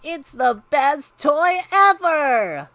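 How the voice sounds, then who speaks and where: Woman acting young boy, high-pitched, melodramatic, pleading, big pitch swoops, storybook character. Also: very animated, moderate pace, fairly bright tone, dry recording. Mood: wailing, dramatic. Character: dramatic, indignant young boy who bargains. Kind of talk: animated picture-book read-aloud.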